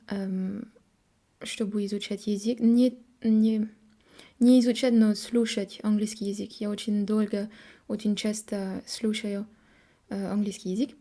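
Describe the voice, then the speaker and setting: Young french woman, mellow voice, kinda flat, keeps stopping to hunt for words and fix herself, thick french accent. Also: mid-range voice. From France. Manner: halting, searching for words, many fillers and self-corrections. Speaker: vlogger. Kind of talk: casual monologue to camera.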